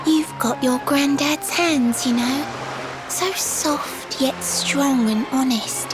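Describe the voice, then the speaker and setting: An actress playing a lovelorn, wistful mermaid who speaks in lilting rhyming verse for a video game. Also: female voice, high voice, slow. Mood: tender longing. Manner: lilting, sing-song, dramatic delivery. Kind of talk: theatrical video game voice acting in rhyme. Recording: music under the voice.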